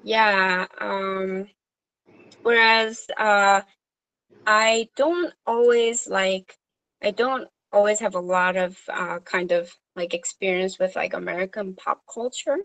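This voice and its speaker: Slow, hesitant woman. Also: medium pitch, steady tone, a bit bright, poor audio. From Korea. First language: Korean. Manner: hesitant, many fillers and restarts. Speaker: social worker. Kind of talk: supervision meeting conversation.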